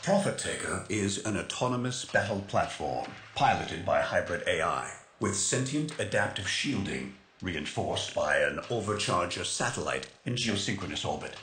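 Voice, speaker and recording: Very deep, precise male tech strategist. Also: expressive, a bit bright, a little hiss or hum. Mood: matter-of-fact. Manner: precise, technical, measured explanation. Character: analytical male tech strategist of a resistance crew. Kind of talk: video game technical briefing voice acting. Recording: echoey, music underneath. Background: music.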